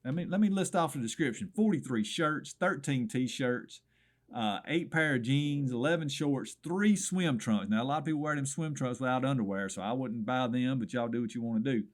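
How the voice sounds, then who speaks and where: A hoarse middle-aged man with a thick Southern American drawl rattles off items like a small-town radio swap shop host. He is folksy and wisecracking and keeps calling the listener "brother." His voice sits at an ordinary pitch for a man. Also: normal speed.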